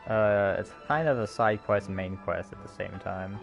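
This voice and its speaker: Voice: deep voice, unhurried, creaky, faint music behind. A game streamer giving casual, off-the-cuff gameplay commentary with some swearing.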